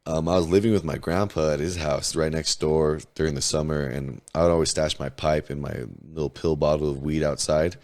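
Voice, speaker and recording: A hoarse man in his twenties from New Mexico, a podcast guest telling candid, profane stories in heavy slang. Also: very deep voice, fairly even intonation, fairly quick, hardly any pauses, a little mellow. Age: 20s. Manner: laid-back, profane, storytelling, heavy slang. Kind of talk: casual candid interview chat.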